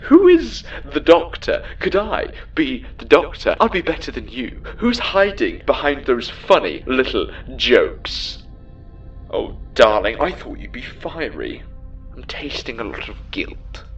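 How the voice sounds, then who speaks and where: A man's voice: mid-range voice, big pitch swings, measured pace, lo-fi sound, faint music behind, pitched above the performer's own voice. A man voicing a sarcastic, camp AI villain in an audio drama, with a theatrical, mocking, sinister delivery. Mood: mock sweetness.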